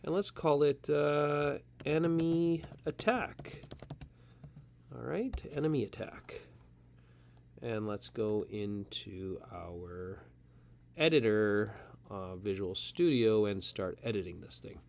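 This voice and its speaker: Casting: man with a slight cold, relaxed tutorial instructor at a desktop mic, talks through each step. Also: lowish voice, slow pace, creaky. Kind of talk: casual screen-recorded coding tutorial.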